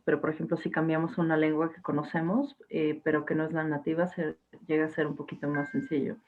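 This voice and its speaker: A young woman teacher, talking to her class casually, with fillers and restarts over a video call. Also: on the low side. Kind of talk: casual online class talk. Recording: tinny phone-like line.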